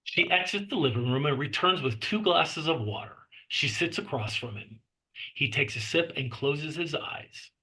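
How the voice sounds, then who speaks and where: Male narrator for screenplay directions: forward, bright tone, rough husky edge, scripted read. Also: fairly high pitch, expressive, normal speed, poor audio. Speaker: narrator reading stage directions.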